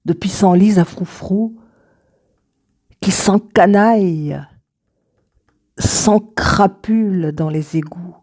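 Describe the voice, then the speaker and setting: Female poet for recited verse: slightly low, dark and mellow, deliberate phrasing with weighty pauses. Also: creaky. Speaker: poet reading own work. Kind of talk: slow recited poetry reading.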